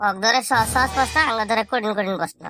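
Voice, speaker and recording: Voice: medium pitch, quick pace, over music, lightly acted. A performer voicing a cheeky, dim-witted village schoolboy in a comedy cartoon, whining and arguing back in a rural Bangladeshi accent with mangled English. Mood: whiny, cheeky. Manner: whiny back-talk, mangled English mixed into dialect.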